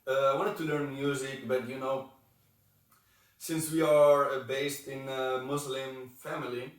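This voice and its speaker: A man's voice: medium pitch, little pitch movement, unhurried, distant mic. Guy in his 20s from morocco chatting as a podcast guest, hunts for words sometimes, big echoey room, sits away from the mic. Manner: searches for words, non-native phrasing. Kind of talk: casual interview talk.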